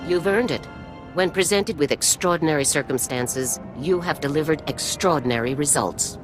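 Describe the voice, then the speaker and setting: An actress playing a formal, authoritative army general in a video game, speaking in a measured, official manner. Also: female voice, low-pitched, music in the background, lightly acted, poor audio. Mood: formal approval. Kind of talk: video game cutscene acting.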